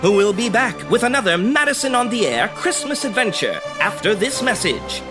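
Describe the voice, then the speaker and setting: Man as a high, theatrical radio announcer. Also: sing-song, normal speed. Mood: grand. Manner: grand, theatrical. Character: dramatic old-time radio serial announcer. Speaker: announcer and narrator. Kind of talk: scripted old-time radio narration. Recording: music bed behind the voice.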